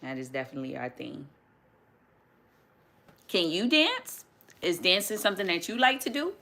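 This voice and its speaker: A woman chats through a live stream from her home. Her voice sits a little low but sounds bright and forceful. She talks loosely, laughs easily and often circles back to restart a thought. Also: big pitch swings, normal speed. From New York City, USA. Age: 30s. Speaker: livestream host. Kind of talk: casual live-stream chat answering viewer comments.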